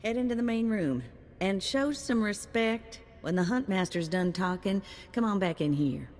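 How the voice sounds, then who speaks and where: A woman's voice: medium pitch, animated, moderate pace, a little mellow, lightly acted. A woman playing a folksy, familiar, straight-talking handler of bounty hunters in a video game. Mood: frank. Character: folksy, chummy female bounty hunt handler. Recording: long reverb.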